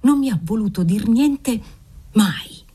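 A woman's voice: fairly low pitch, very animated, normal speed. Woman reading as a volatile old nobleman. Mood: fervent. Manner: swings from dreamy murmuring to furious shouting; fervent, obsessive. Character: aging nobleman, desperate, volatile, pious father.

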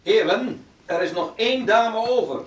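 An actor playing a formal, grey-haired dance teacher calling out booming orders in a radio play. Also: male voice, moderate pace, lightly acted. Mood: stern. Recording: echoey hall, far from mic, music.

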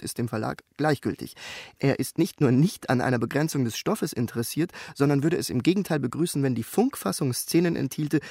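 A man reading documents aloud in a halting, informal way. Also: mid-range voice, darkish tone, no echo, studio quality.

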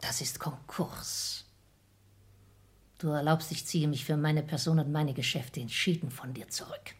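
A cold and ambitious heiress to an industrial empire in a radio play. Her voice sits very low for a woman. She speaks with cool, precise, formal German diction, as a hard woman of business. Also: fairly even intonation, a little slow, husky, whispered, heavily acted. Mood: cold, clipped. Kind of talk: German radio drama acting.

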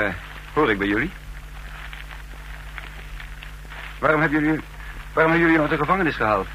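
An actor playing a bewildered fugitive with no memory, brooding and halting as he tells his story in a radio drama. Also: medium pitch, bright, forceful tone. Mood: uneasy, questioning. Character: bewildered amnesiac man, escaped condemned prisoner, telling his story. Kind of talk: radio drama acting, brooding first-person narration. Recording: noisy, humming old radio drama recording.

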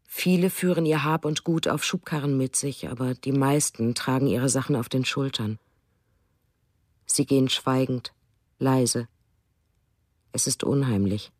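A woman playing a quietly uneasy eyewitness in a radio drama, giving hushed, deliberate testimony. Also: a bass voice, no echo, studio quality.

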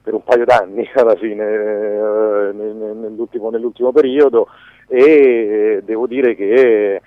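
A man giving a conversational radio interview as a filmmaker, haltingly searching for words and restarting phrases. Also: fairly low pitch, fairly even intonation, no room sound. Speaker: documentary filmmaker being interviewed. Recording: dull lo-fi radio sound, dry.

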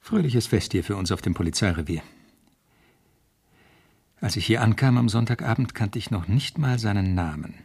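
An earnest young constable narrating a 1970s radio crime play. His voice sits fairly low and has a husky, unclear edge. He sounds like a serious newcomer. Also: lively intonation, moderate pace, long pauses, darkish tone. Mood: reflective narration. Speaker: actor, narrating protagonist.

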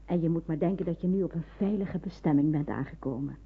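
An actress in a Dutch radio drama playing a watchful, practical nurse in hiding, explaining and cautioning. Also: female voice, low-pitched, quick pace, slight background noise. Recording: narrow phone-like old radio recording.

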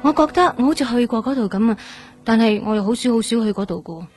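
An actress playing a gentle, hesitant young woman recovering from surgery in a Cantonese radio drama, with a Hong Kong accent. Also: on the high side, animated, quick pace, faint music behind, natural voice. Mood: hesitant, puzzled. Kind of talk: Cantonese radio drama acting, naturalistic dialogue.

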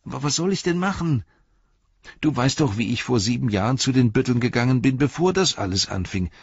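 A man's voice: mid-range voice, expressive, a little fast, husky, no room sound, muffled recording, voice pushed up. A man voicing a timid, grumbling hobbit constable. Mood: confiding. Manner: complaining, confiding, nervous.

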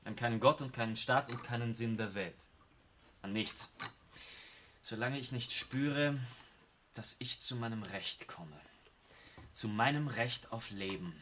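An actor playing an embittered, proud war veteran in a radio play, with an Austrian accent and an emphatic, insistent delivery. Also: male voice, fairly low pitch, fairly bright tone. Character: embittered, proud war veteran turned building-site supervisor. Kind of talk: German radio play acting. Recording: noisy old radio recording.

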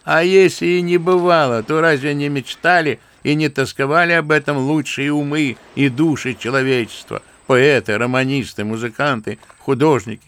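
Old sentimental general for an audio drama, gravelly, fatherly and passionate. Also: a little high, fairly even intonation, normal speed, few pauses, a bit bright. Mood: musing fervent. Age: older. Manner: fervent, musing, fond and paternal. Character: old kindly sentimental general, grandfather figure. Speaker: actor in audio play. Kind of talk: theatrical radio play acting.

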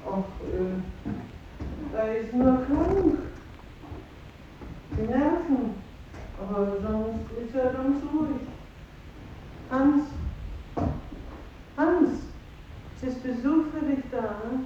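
An actress playing a protective, anxious village aunt in a 1950s radio drama, guarded and hesitant. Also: female voice, high voice, expressive, extremely slow, long pauses, full character voice. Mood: hushed concern. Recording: tinny, roomy, noisy old radio recording.

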